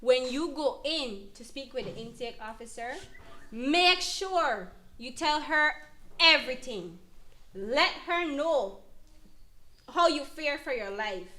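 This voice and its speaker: A woman's voice: sits high, sharp tone, heavily acted. A woman playing an earnest, emphatic advocate who counsels an abused mother in an educational dramatization. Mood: earnest, firm. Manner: emphatic, instructive. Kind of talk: educational dramatization, deliberate explanatory delivery.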